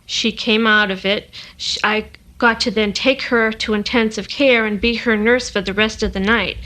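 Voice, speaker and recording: A woman's voice: mid-range voice, fairly even intonation, normal speed, bright, forceful tone, poor audio. A woman, a nurse, recounting a personal experience in an interview.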